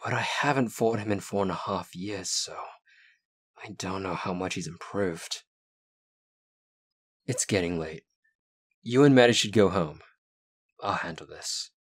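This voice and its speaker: A man voicing a serious, determined young werewolf in an amateur roleplay, earnest and steady. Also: deep voice, measured pace, pauses often.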